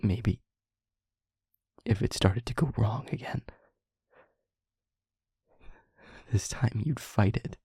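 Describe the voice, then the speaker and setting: A man playing a guilt-ridden demon lover in an audio roleplay, confessing tenderly and in anguish in a halting, breathy, husky hush. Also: fairly low pitch, dry recording, clean studio sound, heavily acted. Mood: regretful, tender. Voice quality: breathy, husky, often whispering. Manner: halting, hushed, dramatic pauses. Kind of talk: intimate audio roleplay monologue.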